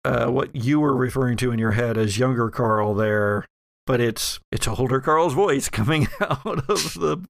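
A man working as game master, narrating a horror tabletop game and thinking aloud in a halting way. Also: medium pitch, gravelly, dry recording, studio quality.

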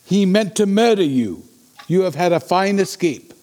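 A man playing a sober, concerned Victorian gentleman in a period drama, speaking in a formal, measured, inquiring way. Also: high-pitched, lively intonation. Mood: grave, measured. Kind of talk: period audio drama acting.

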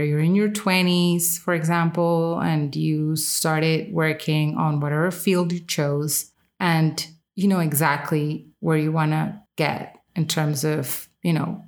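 A woman's voice: low voice, darkish tone. A woman hosting a solo podcast, giving opinionated commentary in an emphatic, candid and casual way. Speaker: podcast host.

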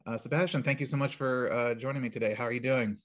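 A man's voice: mid-range voice, steady tone, fast. A man hosting a casual interview, speaking in an effusive manner. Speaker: interview host.

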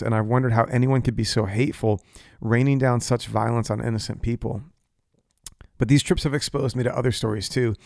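A man's voice: mid-range voice, darkish tone, no room sound, studio quality. A man pastor speaking earnestly and measuredly to his congregation, then interviewing a guest. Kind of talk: church talk and interview.

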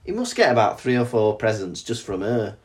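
A young Englishman co-hosting a podcast in an easygoing, chatty way. He keeps chiming in with quick agreement and laughs easily. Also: fairly low pitch, very animated. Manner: chatty, laughs often, lots of agreeing "yeah, yeah". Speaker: podcast co-host. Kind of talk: casual chat.

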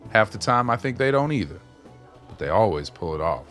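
A man playing a dapper, genial old fixer in a radio play, with courtly, folksy, unhurried phrasing. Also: lowish voice, sing-song, fairly bright tone, faint music behind. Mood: easygoing, wry. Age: older.

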